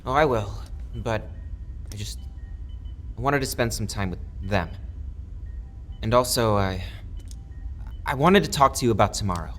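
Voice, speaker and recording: A man's voice: fairly low pitch, lively intonation, fairly bright tone, soft background music. An anguished, haunted young man. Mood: hesitant. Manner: halting, pleading, bursts of anger. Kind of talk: audio drama acting.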